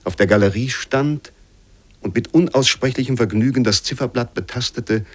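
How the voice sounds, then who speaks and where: A man reads a classic novel aloud in a mid-pitched voice. His phrasing is formal and measured, shaped for long literary sentences. The sound is dry, with hardly any room around it. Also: dry recording. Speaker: literary reader. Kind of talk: scripted literary narration, reading a novel aloud.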